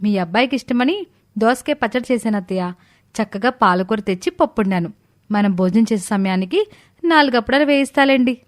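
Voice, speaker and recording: A woman's voice: on the high side, expressive, fairly quick, no room sound, studio quality, natural voice. Woman as a polite, clever young daughter-in-law. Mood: polite reassurance. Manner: polite, respectful. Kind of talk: animated moral story dialogue.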